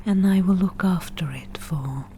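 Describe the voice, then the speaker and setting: A wry forest witch, played by a woman, whispers softly and very slowly, low and breathy. She is a dryly teasing potion brewer who drives a bargain in an ASMR fantasy roleplay. Her tone is mellow, with faint noise behind it. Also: lightly acted. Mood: playful, bargaining. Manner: slow, unhurried, dryly teasing.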